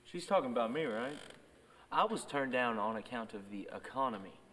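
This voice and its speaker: A man's voice: mid-range voice, lively intonation, normal speed, fairly bright tone, lightly acted. A man acting a blunt, defensive small-town deadbeat in a film drama. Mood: defensive, insulting. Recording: echoey hall, off-mic.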